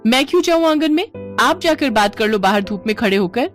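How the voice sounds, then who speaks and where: A woman's voice: a little high, animated, brisk, soft background music, pitched above the performer's own voice, close to an everyday voice. A woman voicing an irritable, complaining young newlywed in a cartoon family story, exasperated and argumentative. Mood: annoyed. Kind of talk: animated Hindi family story dialogue.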